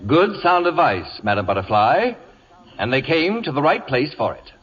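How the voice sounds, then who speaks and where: Imperious, swooping old-radio wit. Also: male voice, on the high side, big pitch swings, moderate pace, fairly bright tone, breathy edge, long reverb tail, exaggerated voice. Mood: sardonic. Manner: theatrical, sardonic, mockingly affectionate. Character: acid-witted, imperious middle-aged celebrity man confined to wheelchair. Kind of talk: 1940s radio comedy acting. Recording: muffled old radio broadcast.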